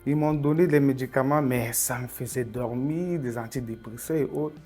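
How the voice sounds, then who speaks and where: Earnest young man, soft music. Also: medium pitch, moderate pace. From Cameroon. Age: 20s. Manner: earnest, reflective storytelling, often dropping to a quiet, inward tone. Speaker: testimony speaker. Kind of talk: personal faith testimony.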